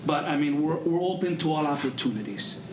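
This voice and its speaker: A man speaking as a school administrator in a public meeting, haltingly, often repeating words and phrases. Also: on the high side, lively intonation. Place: large meeting room. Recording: echoey room with clear background noise.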